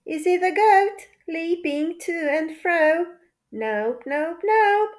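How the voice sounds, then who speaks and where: High-pitched woman reading to toddlers, very slowly. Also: lively intonation. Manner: playful, repetitive question-and-answer delivery for toddlers. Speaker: children's story narrator. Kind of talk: sing-song read-aloud of a rhyming children's picture book.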